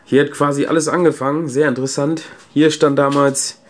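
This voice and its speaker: A man's voice: fairly high pitch, little pitch movement, a little fast. A man giving casual, off-the-cuff vlog commentary as a sound technician, in informal colloquial speech. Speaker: video host and PA sound technician. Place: indoor venue rooms and cellar. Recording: background hum and room noise.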